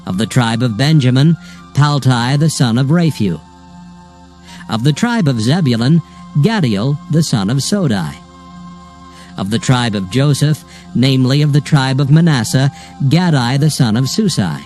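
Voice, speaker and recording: A man narrating scripture from a script in a measured, solemn manner. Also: mid-range voice, animated, a little mellow, faint music behind.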